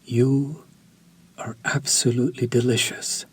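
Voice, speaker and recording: An actor playing a refined, possessive male vampire chef who speaks formally, slowly and with quiet menace, in an audio roleplay for a listener. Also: mid-range voice, little pitch movement, slightly rough. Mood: seductive. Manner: slow, formal, seductive and menacing.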